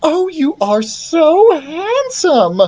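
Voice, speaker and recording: A woman voicing a doting, excitable storybook queen who gushes in drawn-out exclamations. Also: fairly low pitch, pitched above the performer's own voice, heavily acted. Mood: doting, gushing. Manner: gushing, drawn-out exclamations, talks over others. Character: excitable, gushing, doting storybook queen mother, hard of hearing.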